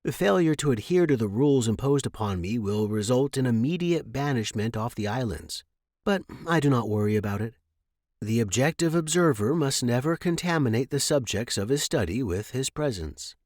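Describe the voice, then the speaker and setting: A man narrating a story as an earnest young Victorian gentleman biologist, reading his journal in a measured, formal way. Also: medium pitch, animated, no room sound, studio quality, natural voice. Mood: earnest, reflective.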